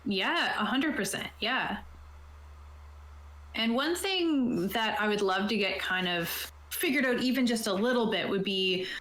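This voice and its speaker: A woman's voice: a little high, expressive, unhurried, a bit bright. Woman game master in an echoey room. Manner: informal, jokey asides. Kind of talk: casual chat explaining a game setup. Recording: roomy, echoey sound.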